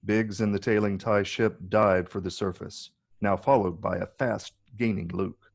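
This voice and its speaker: A man narrates screenplay action in a scripted reading. His voice is fairly low, with heavy gravel and a husky rasp. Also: fairly even intonation, a little slow. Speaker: narrator reading stage directions.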